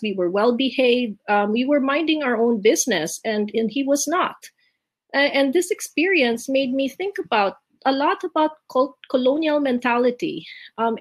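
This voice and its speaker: A middle-aged woman from the Philippines speaks in a slightly high voice, pausing often on ums. She sounds reflective and sincere, as if talking over a video call from home. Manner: reflective, many ums and restarts. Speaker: leadership award speaker. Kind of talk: personal life story talk. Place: home, virtual event.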